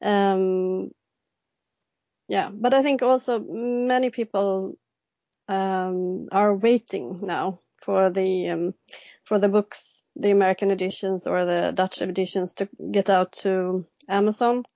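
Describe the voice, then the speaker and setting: A Swedish woman in her thirties speaks English with a clear Swedish accent as a podcast guest. She hesitates often, filling gaps with ums and restarting her sentences. The sound is dry and slightly dull, with an ordinary pitch. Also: fairly even intonation, takes it slowly, warm tone, no echo. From Sweden. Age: 30s. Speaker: guest illustrator. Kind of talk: casual interview answers.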